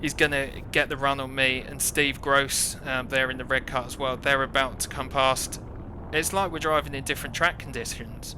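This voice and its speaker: A man's voice: fairly even intonation, moderate pace, few pauses. A British man giving informal first-person commentary on his own kart race, in a wry, self-deprecating manner. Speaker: kart racer narrating own race footage. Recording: clear engine rumble behind the voice.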